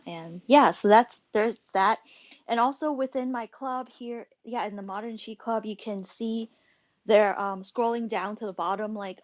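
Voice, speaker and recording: A woman's voice: mid-range voice, no room sound. Woman, host, casual social audio chat, rambling with fillers, dry dull sound. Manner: rambling, many fillers and restarts. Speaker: host and club moderator.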